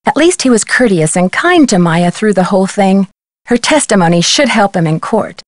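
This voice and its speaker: A woman acts as a level-headed teenage girl sleuth from a mystery game. She speaks at a middling pitch in a composed, conversational manner. The recording is dry and close, with no room sound. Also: sing-song, moderate pace, dry recording, natural voice. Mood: reflective. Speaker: video game voice actor. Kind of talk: scripted game dialogue and letter narration.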